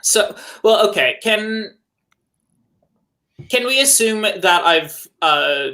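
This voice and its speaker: A man with a fairly high, bright voice, speaking slowly. He is a player in a tabletop game, casually describing what his character does. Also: lively intonation. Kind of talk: casual chat.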